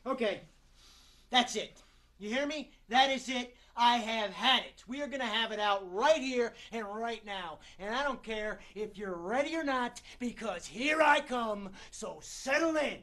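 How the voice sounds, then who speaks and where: A man's voice: very high-pitched, expressive, unhurried, bright, forceful tone, full character voice. A man performing a theatrical monologue as an exasperated, wisecracking struggling actor, sarcastic and colloquial.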